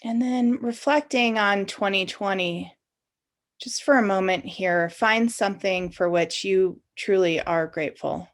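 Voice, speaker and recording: Slow-paced woman guiding a meditation. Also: medium pitch. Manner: unhurried, conversational, invitational. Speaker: meditation guide. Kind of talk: guided meditation, informal spoken.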